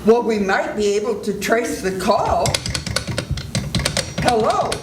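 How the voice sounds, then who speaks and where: A woman's voice: mid-range voice, animated, slow pace. An older woman playing a firm, take-charge doctor. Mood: steady. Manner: firm, reassuring, commanding. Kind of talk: 1940s radio drama reading. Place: senior center event room. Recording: echoey room with loud music.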